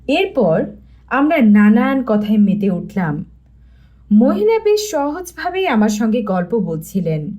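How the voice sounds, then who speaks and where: Lively, higher-pitched woman narrating. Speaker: audiobook narrator. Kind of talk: scripted story narration, first-person mystery tale.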